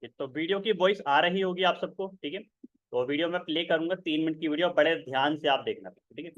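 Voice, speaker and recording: A man presenting a webinar sales pitch, persuasive, keeps checking in with his listeners. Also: brisk, a bit bright, dry recording, highs cut off. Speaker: online seminar presenter.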